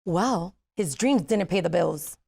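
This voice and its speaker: A woman's voice: on the low side, expressive, takes it slowly, vocal fry, dark tone, a little hiss or hum, full character voice. A woman at a comedy table read playing a caring but firm mother in her fifties. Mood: annoyed, firm. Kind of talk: table reading of a comedy script.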